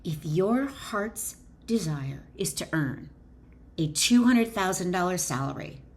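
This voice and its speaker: A woman author and wellness educator reading her book aloud with informal asides, deliberate and emphatic, pausing between short phrases. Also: fairly low pitch, lively intonation, a little hiss or hum.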